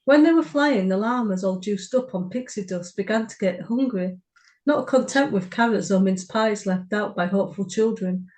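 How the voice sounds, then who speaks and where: Female writer and co-host, medium-pitched, informal short story reading. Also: darkish tone, poor audio.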